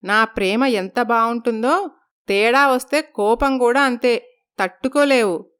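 A woman's voice: on the high side, measured pace, fairly bright tone, clean tone. A woman voicing a stern, proud middle-aged mother-in-law who gives bossy warnings in a storybook. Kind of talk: storybook dialogue.

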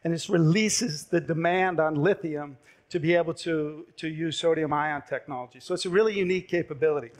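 A middle-aged man pitches his company from a big stage, speaking through a headset mic. His voice sits a little high for a man and is turning hoarse as he goes. He delivers it in a brisk, persuasive sales manner, with the odd filler. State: losing his voice. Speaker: startup pitch presenter. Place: large dark conference stage.

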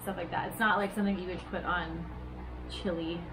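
A young woman from Hawaii chatting casually as a guest on an outdoor porch, relaxed with lots of fillers. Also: on the low side, a little fast, over music, poor audio. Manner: relaxed, filler-heavy, laughs. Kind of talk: casual chat.

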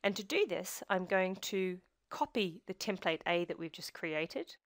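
A woman presenting a software tutorial in scripted screencast narration, giving measured step-by-step instruction. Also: lowish voice, animated, no echo, clean studio sound.

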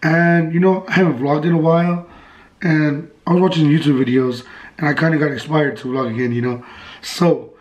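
A young man with a hoarse, sick voice, vlogging in a bedroom and teasing his cousin. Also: on the high side, steady tone, normal speed, darkish tone, low-quality recording. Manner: mocking, goading. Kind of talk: casual vlog, teasing. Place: bedroom at home. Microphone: handheld camera mic.

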